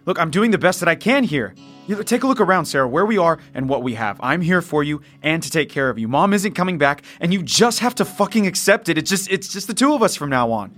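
A tired protective dad, talks fast, earnest, post-apocalyptic audio drama, music underneath. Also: male voice, fairly high pitch, sing-song, fairly bright tone. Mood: frustrated, strained. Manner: plain-spoken, earnest. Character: weary, protective survivor father of a young daughter. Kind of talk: naturalistic audio drama acting.

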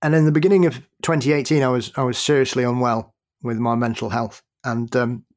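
Earnest man telling a story in a measured way. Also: mid-range voice, fairly even intonation. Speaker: podcast guest. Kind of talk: casual conversation.

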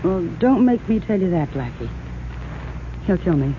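A woman's voice: fairly low pitch, very animated, moderate pace, slight background noise. An actress playing an evasive, nervous young gangster's girlfriend, slangy and guarded, in a 1940s radio crime drama. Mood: wary. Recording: tinny, narrow old radio recording.

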